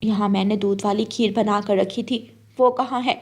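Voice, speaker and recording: A woman's voice: medium pitch, steady tone, normal speed, pitched below the performer's own voice, natural voice. A woman voicing a cruel, bossy stepmother bird who scolds and threatens in a cartoon. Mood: accusing.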